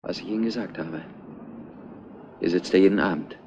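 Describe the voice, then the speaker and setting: Deep, muffled police detective. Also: male voice, a little fast, a little mellow, slight background noise. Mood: matter-of-fact. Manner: matter-of-fact. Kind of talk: retro German TV crime drama acting. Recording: dull, muffled old soundtrack.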